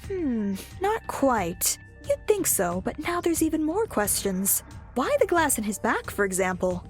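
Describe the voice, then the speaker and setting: Woman acting high, lively analytical young woman. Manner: methodical, pondering aloud. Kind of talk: audio drama acting.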